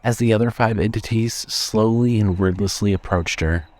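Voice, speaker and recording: A man reading scripted horror fiction as narrator, in a measured storytelling manner. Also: lowish voice, background noise.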